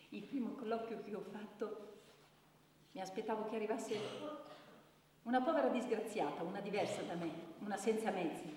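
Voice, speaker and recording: An actress playing an earnest women's shelter counsellor, delivering a theatrical testimony in a measured, deliberate way with weighty pauses. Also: female voice, lowish voice, darkish tone, a little hiss or hum, natural voice. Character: earnest female anti-violence centre counsellor giving testimony. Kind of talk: dramatic theatrical monologue. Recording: echoey hall with long reverb, distant mic.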